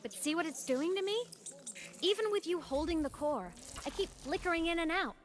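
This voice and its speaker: A woman's voice: very high voice, animated, moderate pace. A woman voicing a playful, teasing digital ghost girl in a video game, breezy and casual but determined. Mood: pleading, exasperated. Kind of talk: video game story voice acting.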